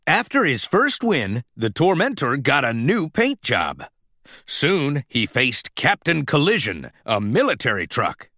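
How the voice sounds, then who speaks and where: A man reading a children's story aloud as a scripted, animated narration. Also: medium pitch, unhurried, dry recording, studio quality.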